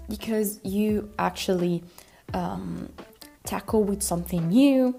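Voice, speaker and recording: Solo podcast host, woman, gravelly low-key voice, chatty and thinking aloud, soft music underneath. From Italy. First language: Italian. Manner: chatty, thinking aloud with many fillers and restarts. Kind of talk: solo podcast monologue on language learning.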